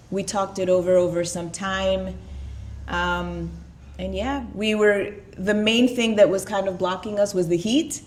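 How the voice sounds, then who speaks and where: A woman from Puerto Rico whose first language is Spanish, speaking as a guest in a relaxed interview with many fillers. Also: mid-range voice. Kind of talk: casual interview talk.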